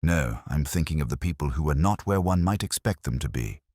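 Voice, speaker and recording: A narrator, a man, plays a shrewd police inspector in an audio mystery. He is patient and mild, very low in pitch, slightly husky, speaking with dry formality. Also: lively intonation, a little mellow, no echo, full character voice. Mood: thoughtful. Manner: measured, dry, formal understatement.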